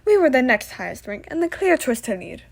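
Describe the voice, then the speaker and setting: A woman's voice: high-pitched, big pitch swings, a little fast, creaky, full character voice. A woman playing a proud, self-satisfied male revolutionary leader being interviewed in a comedic puppet show. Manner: boastful, matter-of-fact.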